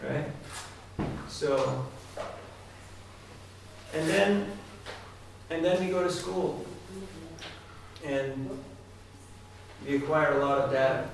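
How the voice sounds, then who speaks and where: A man with a mid-pitched, gravelly voice delivers a devotional talk, turning often to his listeners with direct questions. He is recorded from a distance in a large, very reverberant hall. A faint hiss sits under the recording. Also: fairly even intonation, takes it slowly, pauses often. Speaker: spiritual speaker. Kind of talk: devotional talk to a live audience. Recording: echoey hall, distant mic, faint noise.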